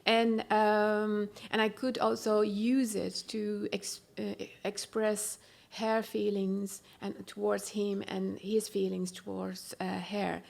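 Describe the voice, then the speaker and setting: Gravelly Persian-accented woman director, hesitant. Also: mid-range voice, little pitch movement, slow pace, hardly any pauses, a little mellow. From Iran. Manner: hesitant, many fillers and restarts. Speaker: film director. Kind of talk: panel talk at a festival.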